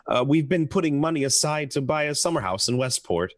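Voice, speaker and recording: Actor as a flustered husband, swooping pitch, dry sound. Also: male voice, lively intonation, brisk, no room sound, close to an everyday voice. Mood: anxious, eager. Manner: hesitant, stammering, flustered. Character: nervous, henpecked-feeling husband with a guilty scheme. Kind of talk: radio play acting.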